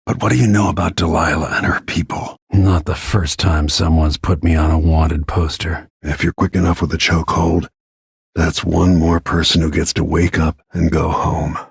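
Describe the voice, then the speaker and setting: A brooding middle-aged assassin from a video game, speaks in a low, heavily rasping voice. He is terse, grim and deliberate, set on revenge. The sound is close and dry. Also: flat delivery, dry recording, exaggerated voice. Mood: calm, instructive. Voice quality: gravelly, husky.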